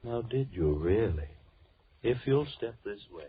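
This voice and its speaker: An actor playing a lonely, sinister recluse with formal, overly polite, measured speech in an old radio horror drama. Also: male voice, lowish voice, lively intonation. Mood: courteous, welcoming. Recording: muffled, noisy old radio recording.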